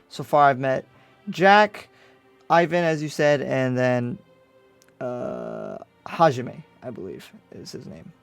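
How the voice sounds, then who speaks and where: A man playing a curious, easygoing demon slayer in an improvised anime roleplay, with a halting, attentive way of talking. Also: medium pitch, creaky, clean tone. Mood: casual, hesitant. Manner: halting, attentive, murmuring acknowledgements. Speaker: roleplay player. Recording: background music under the voice.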